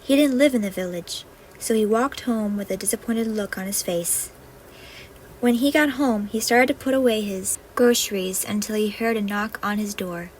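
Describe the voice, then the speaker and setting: A woman's voice: mid-range voice, normal speed. A woman storyteller reading a scripted fairy-tale narration. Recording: faintly noisy home recording.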